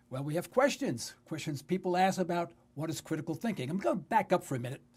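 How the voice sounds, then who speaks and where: An older man in his sixties with a New York accent speaks as a talk radio host. His voice is rough and husky, swinging widely in pitch as he tells personal stories. He phrases things emphatically and repeats words for weight. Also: darkish tone. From New York City. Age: 60s. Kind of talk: conversational radio monologue with personal storytelling.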